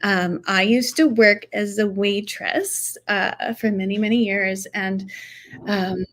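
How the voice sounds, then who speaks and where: A woman with a soft voice chatting casually about acting as a coach, hesitant and full of fillers. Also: mid-range voice, fairly even intonation, slow pace. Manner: hesitant, many fillers and restarts. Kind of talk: casual conversational chat.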